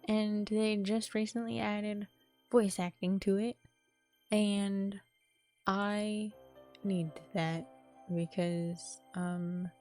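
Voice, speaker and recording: A woman game streamer with an informal, expressive manner, commenting casually and reading a visual novel's narration aloud. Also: mid-range voice, extremely slow. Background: game music.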